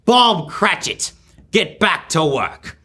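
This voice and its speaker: Young man playing a sharp, scornful old miser. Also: fairly high pitch, very animated, slow, husky, exaggerated voice. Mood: angry. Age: teenager or young adult. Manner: curt, scornful, emphatic. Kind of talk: live old-time radio drama reading.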